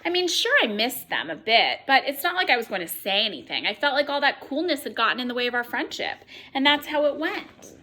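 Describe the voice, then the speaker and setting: Animated, bright-voiced woman reading a picture book. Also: high voice, brisk, few pauses. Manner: animated, acting out exclamations. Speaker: read-aloud storyteller. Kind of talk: expressive children's picture book read-aloud. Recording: noticeable background noise.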